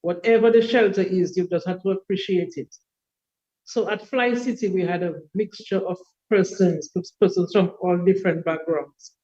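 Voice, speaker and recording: A woman, a podcast guest telling her life story in a reflective, unhurried way. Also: lowish voice, mellow, muffled recording. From Dominica. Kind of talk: personal life story in an interview.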